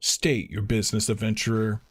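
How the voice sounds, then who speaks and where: A man reading as a low-voiced stern fantasy quest-giver. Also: flat delivery, normal speed, husky. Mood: curt, distrustful. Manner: formal, archaic phrasing. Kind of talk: reading game dialogue aloud.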